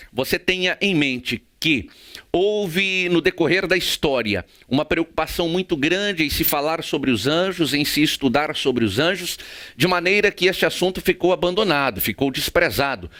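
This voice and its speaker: A Brazilian man giving a prepared theology lecture in a formal, didactic way with measured phrasing. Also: fairly high pitch, a bit bright. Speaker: theology teacher.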